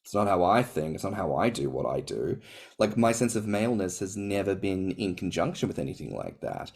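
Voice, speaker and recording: Guy on a podcast, kinda low voice, gets really emphatic and swoops up high. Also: brisk. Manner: expressive, big pitch swings, emphatic. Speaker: podcast co-host or guest. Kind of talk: casual podcast chat.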